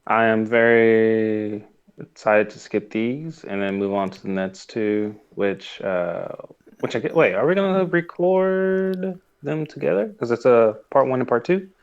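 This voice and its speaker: A man's voice: mid-range voice, measured pace, clear, resonant tone. A man co-hosting a podcast, chatting casually about a review. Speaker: podcast co-host. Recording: dull, muffled lo-fi audio.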